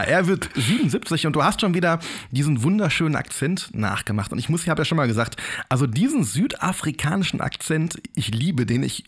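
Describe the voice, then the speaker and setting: Lively, higher-voiced man sharing trivia. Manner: chatty, reads out trivia with playful teasing. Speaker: podcast co-host. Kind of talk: casual chat.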